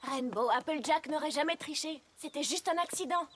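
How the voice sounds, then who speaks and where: A woman voicing a sensible, studious young pony who reasons and gently lectures in a French cartoon dub. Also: high voice, normal speed, lightly acted. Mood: reasoning. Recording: noisy background with clicks.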